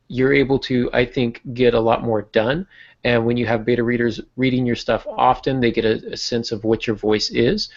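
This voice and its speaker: A man's voice: mid-range voice, dull audio. A man hosting a casual podcast as an author, explaining things in an informal, self-deprecating way.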